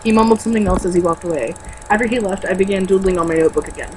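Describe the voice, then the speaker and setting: Female amateur reader, informal book narration with occasional stumbles, middle-pitched voice, faint noise behind. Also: racing. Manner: informal, stumbling over words and rereading. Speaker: amateur reader in a comedic read-aloud video. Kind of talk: reading a novel aloud, first-person narration.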